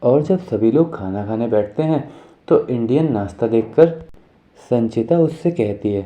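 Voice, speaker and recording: A man narrating an animated moral story in a scripted storytelling style. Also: medium pitch, a little slow. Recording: music under the voice.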